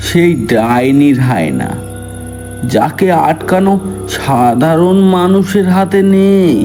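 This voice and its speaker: A man voicing a grave, knowing village uncle who tells and narrates a cartoon story in a deliberate, instructive manner. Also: medium pitch, dark tone. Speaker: narrator and village elder character.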